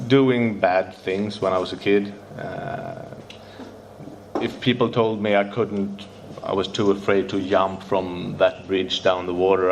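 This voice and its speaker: A man from Sweden with a Swedish accent, Swedish his first language, sharing his recovery story at a meeting, nervous and halting as he searches for English words. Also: low-pitched, vocal fry, slight background noise.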